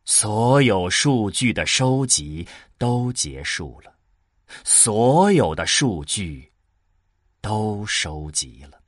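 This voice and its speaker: A man narrating a science-fiction audio drama in a solemn, measured, dramatic way. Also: fairly low pitch, big pitch swings, no echo, clean studio sound, heavily acted. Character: solemn storyteller of a cosmic tale. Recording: music behind the voice. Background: music.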